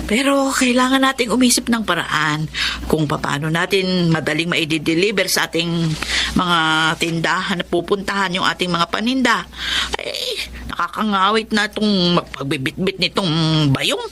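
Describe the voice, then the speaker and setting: A practical mother who peddles goods, in a Filipino radio drama. Her voice is lowish yet bright and forceful, folksy and expressive, with a Filipino accent. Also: moderate pace, a little hiss or hum. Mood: practical, cheerful. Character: practical market vendor mother, middle-aged woman.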